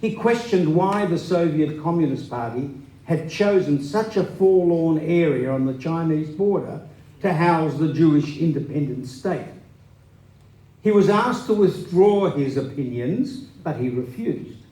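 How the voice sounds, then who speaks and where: A man's voice: a little high, lively intonation, measured pace, warm tone. A man giving a scripted dramatic reading of a memoir on stage in an echoey hall. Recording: echoey live hall recording.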